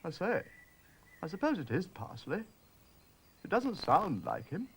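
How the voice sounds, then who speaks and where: A man voicing a plummy, bewildered old English gentleman. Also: high-pitched, big pitch swings, a little slow, slight background noise, full character voice.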